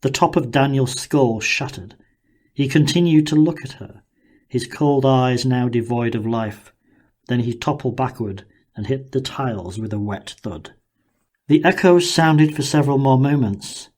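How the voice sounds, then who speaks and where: A man narrating dramatic fiction for an audiobook in a measured, deliberate way. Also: mid-range voice, darkish tone.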